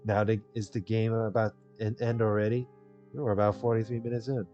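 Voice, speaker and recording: A man's voice: lowish voice, little pitch movement, fairly quick, warm tone. A man who plays games on his channel, reading and commenting on a visual novel. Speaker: video game player and narrator. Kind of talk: dramatic read-aloud of a visual novel with casual commentary. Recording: dull lo-fi mic with music behind.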